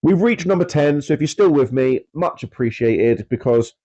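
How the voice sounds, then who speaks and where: A man with a blocked, congested voice talks casually and loosely, stopping often on fillers and laughing off his own pronunciation. His tone is mellow and dark. The recording sounds a bit dull and lo-fi. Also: a little high, lively intonation, normal speed. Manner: rambling, filler-heavy, self-deprecating about pronunciation. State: congested with a cold. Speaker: aquarium channel host. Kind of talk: casual chatty video talk.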